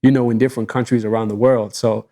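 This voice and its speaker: Relaxed man with a mellow voice. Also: medium pitch, fast talker. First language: Arabic. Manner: relaxed, enthusiastic, casual slang. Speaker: podcast co-host. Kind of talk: casual business chat.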